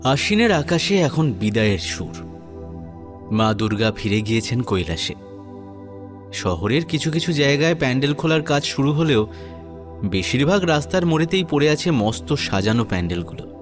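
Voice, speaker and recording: A man tells a dramatic audio story in the first person, moving between narration and acted dialogue. His delivery is measured and earnest, at a middle pitch, with new-age music underneath. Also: big pitch swings.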